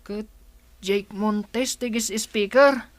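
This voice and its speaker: A woman voicing an eager, excitable schoolboy in a radio drama. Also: medium pitch, extremely slow, no room sound. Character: excited schoolboy calling for his mother. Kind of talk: Ilocano radio drama acting.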